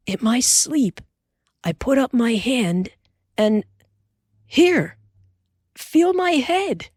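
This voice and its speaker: A woman reading aloud who voices a steady, teasing pioneer father in a low, plain, earnest way. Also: drawn-out words, slightly rough, no room sound, clean studio sound, exaggerated voice.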